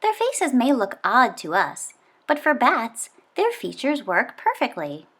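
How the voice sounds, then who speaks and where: A woman reading a children's nonfiction book aloud, expressively and with clear phrasing for young listeners. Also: on the high side, wildly swooping pitch, a little slow, a bit bright.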